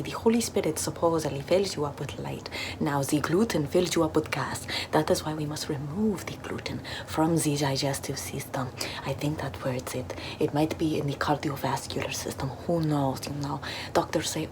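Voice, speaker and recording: Young woman, low and breathy deadpan whisper, parody ASMR, close webcam, noisy home recording. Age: young adult. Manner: deadpan mock-mystic whispering. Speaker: ASMR creator. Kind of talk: parody ASMR role-play. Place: small bedroom with floral backdrop, indoors.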